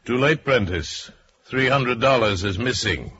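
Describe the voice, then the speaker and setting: An actor playing a stern, sly middle-aged manager in a radio drama, pompous and condescending. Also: male voice, mid-range voice, lively intonation, a little slow, pushed and bright. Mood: stern, accusing. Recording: dull vintage radio recording.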